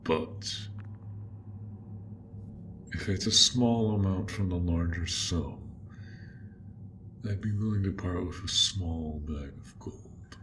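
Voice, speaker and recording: A man voicing a gentle, awkward dragon in an audio roleplay, with formal, old-fashioned, hesitant phrasing, speaking straight to the listener. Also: deep voice, slow, long pauses, a little hiss or hum, full character voice. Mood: proud, generous. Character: courteous, awkward, gentle male dragon, formal and hoard-proud.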